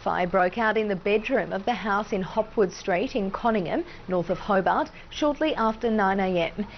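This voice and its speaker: A woman's voice: mid-range voice, normal speed, highs cut off, slight background noise. An Australian woman working as a news anchor, reading scripted bulletin copy.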